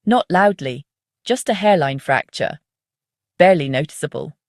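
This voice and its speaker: Woman narrating a dark psychological story, lowish voice, really dry sound. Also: lively intonation, normal speed, dry recording. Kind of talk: scripted first-person narration.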